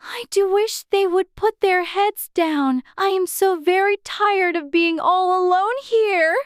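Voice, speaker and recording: A woman's voice: very high-pitched, takes it slowly, no echo, studio quality. A woman voicing an anxious, chatty little girl in a dramatized storybook, fretfully talking to herself in prim childish exclamations. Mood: forlorn, fretful. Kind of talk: dramatized audiobook character acting.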